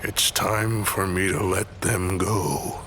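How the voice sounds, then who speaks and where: A man playing a gruff, jaded older man with a gravelly, deliberate, dry delivery in an audio drama. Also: low-pitched, steady tone, a bit bright, slight background noise, heavily acted. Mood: weary, resigned.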